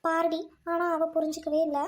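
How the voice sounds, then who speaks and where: A hot-tempered older village woman acted by a woman from a village drama. She speaks high and quickly, in a bossy, colloquial, questioning way. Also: level tone. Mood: defensive. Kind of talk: village comedy-drama acting.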